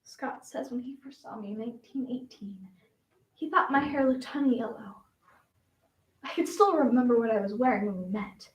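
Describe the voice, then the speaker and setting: A flamboyant Southern belle flapper, acted by a woman in a high-pitched voice, holding forth in a dramatic stage monologue. Her Southern carries a wistful, coquettish theatricality. The sound is distant from the microphone, with plenty of room echo. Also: moderate pace, close to an everyday voice. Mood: wistful reminiscing. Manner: dramatic, swinging from coy reminiscence to defiant outbursts.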